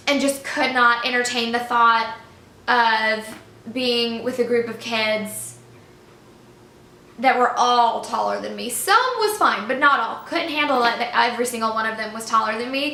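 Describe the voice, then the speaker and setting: A woman's voice: fairly high pitch, pushed and bright, roomy sound. A woman in her twenties, a middle school teacher, chatting expressively to camera in her living room. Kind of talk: casual vlog to camera. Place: living room by a fireplace, indoors.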